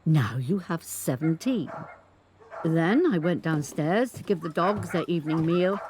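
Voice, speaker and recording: An elderly grandmother delivers a reflective audio drama monologue with music behind her. Her pitch moves expressively as she speaks. She is measured, frank and resolute, stressing her points firmly. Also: darkish tone. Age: 80s. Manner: measured, emphatic, reflective storytelling. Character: resolute 85-year-old grandmother recounting her life with candour. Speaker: monologue performer. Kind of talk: dramatic first-person monologue narration. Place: recording studio.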